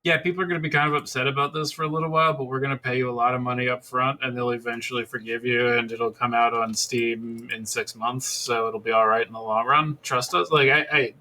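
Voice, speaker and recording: A man with a bright, forward voice at a middling pitch co-hosts a podcast. He shares his opinions in loose, casual conversation. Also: level tone, fast, hardly any pauses, a little hiss or hum, poor audio. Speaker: podcast co-host.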